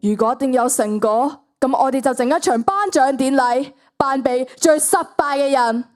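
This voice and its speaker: A woman's voice: sits high, moderate pace, a little mellow, soft background music, natural voice. A teenage girl playing an earnest, emphatic schoolgirl club leader in a radio drama.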